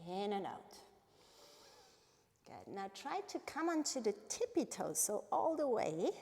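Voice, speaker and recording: A woman from Switzerland with a Swiss accent, a native Bernese Swiss German speaker, leads a live yoga class with calm, step-by-step cues and breath prompts. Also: medium pitch. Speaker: yoga instructor. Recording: long reverberant hall-like echo.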